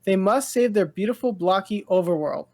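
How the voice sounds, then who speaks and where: A woman co-hosting a casual pop-culture news chat, speaking in an informal, halting, slightly bewildered way with slang. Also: mid-range voice, animated, darkish tone. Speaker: podcast co-host.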